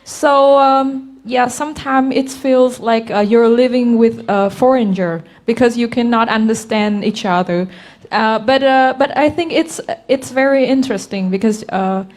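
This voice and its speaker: A woman's voice: fairly high pitch, unhurried, darkish tone. A young woman student, a native Vietnamese speaker, sharing her experience hesitantly, with many fillers, on a video call. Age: young adult.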